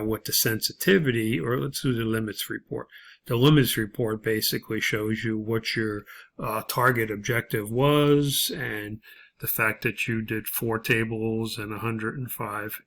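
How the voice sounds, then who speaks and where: A man narrating an unscripted, step-by-step spreadsheet tutorial as an instructor, in a halting way. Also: lowish voice, gravelly, fairly bright tone.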